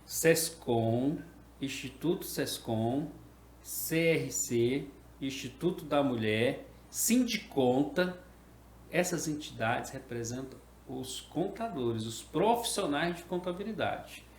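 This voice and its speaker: A middle-aged man from Brasília speaks as an interview guest, explaining in a measured way and often checking in with the listener. His voice sits mid-range. The room is echoey, with faint noise behind. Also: medium pitch, expressive, darkish tone. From Brasília, Brazil. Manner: explanatory, measured, uses "tá?" tags. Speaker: guest, association president. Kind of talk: interview conversation.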